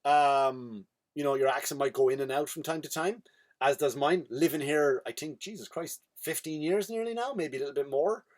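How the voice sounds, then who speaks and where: An Irish comedian with a fairly high-pitched voice, rambling through a comic rant. His pitch and volume leap up and drop away as he riffs, giving a lively, restless delivery. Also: moderate pace. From Ireland. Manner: animated and rambling, with big swings in pitch and volume. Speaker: comedian and podcast host.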